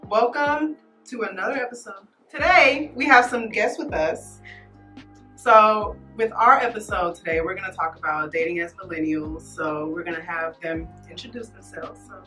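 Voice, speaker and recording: A woman co-hosting a casual chat show, relaxed and soft-spoken. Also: mid-range voice, normal speed, recorded from a distance, faint music behind. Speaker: co-host.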